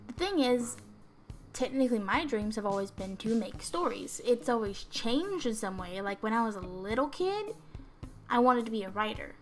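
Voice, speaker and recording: A young woman with a hoarse, raspy voice, still recovering from being sick, rambling unscripted in a vlog and laughing often. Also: a little high. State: hoarse after illness. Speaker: video creator. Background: loud music.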